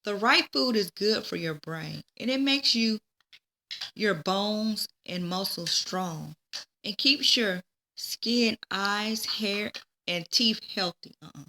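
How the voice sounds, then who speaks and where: A woman reading a children's story aloud in a deliberate way, now and then stumbling and repeating words. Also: mid-range voice, expressive, bright, forceful tone, a little hiss or hum. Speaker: teacher reading a book aloud. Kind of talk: children's read-aloud narration.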